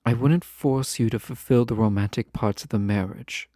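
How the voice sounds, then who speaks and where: A man playing a lovesick, proud fantasy ruler in a romantic audio drama, speaking formally, earnestly and with hesitant pauses. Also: medium pitch, dark tone, no room sound, clean studio sound, close to an everyday voice. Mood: earnest, hopeful. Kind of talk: scripted romantic audio roleplay, close and intimate.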